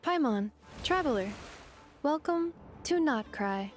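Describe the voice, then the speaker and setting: A woman's voice: sits high, expressive, faint music behind. A woman voicing a polite robot guide in a video game, speaking in a formal, precise, literal manner. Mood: welcoming. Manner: formal, precise, measured wording.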